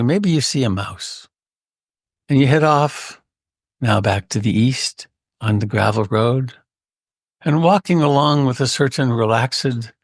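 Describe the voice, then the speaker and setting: A man leading a guided meditation in a gentle, unhurried way with long pauses and a creaky voice. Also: medium pitch, dry recording, studio quality. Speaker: meditation teacher.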